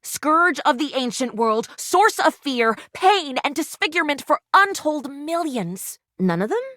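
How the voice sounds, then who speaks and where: An actress voicing an earnest, anxious, bookish would-be doctor in a video game, with quaint, wordy, theatrical delivery. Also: female voice, sits high, very animated, measured pace, a bit bright, no room sound, studio quality, heavily acted. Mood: worried, grave.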